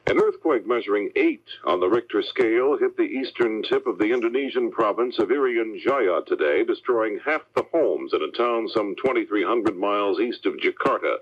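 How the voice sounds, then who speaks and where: A man's voice: fairly low pitch, lively intonation, moderate pace, few pauses, highs cut off. An American man anchoring a scripted 1970s network radio newscast, heard on a vintage AM recording.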